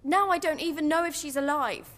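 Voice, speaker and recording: A woman's voice: sits high, a little fast, fairly bright tone, long reverb tail. An actress with a British accent playing a bitter, defiant young woman in a dramatic video game. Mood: shaken, distressed.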